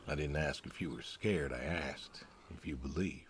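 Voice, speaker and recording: An actor playing a weary, stoic older pig farmer in a video game, terse, plainspoken and solemn. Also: male voice, a bass voice, moderate pace, a little hiss or hum. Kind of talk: naturalistic video game acting.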